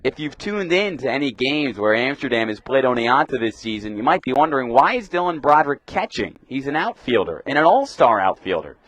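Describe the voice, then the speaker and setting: A young man works as a radio baseball announcer, giving live play-by-play. His pitch is middling for a man and the sound is dry. He has a crisp commentary delivery and puts punch into each pitch call. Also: very animated, a little fast, hardly any pauses, fairly bright tone, no room sound. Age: young adult.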